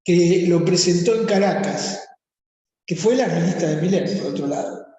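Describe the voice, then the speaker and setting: A speaker with an Argentine accent reflects on psychoanalytic theory in a calm, thoughtful way. The voice is dark and mellow, and it is heard in a hall with heavy echo and a long reverb tail. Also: medium pitch, moderate pace. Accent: Argentine Spanish. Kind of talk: seminar discussion.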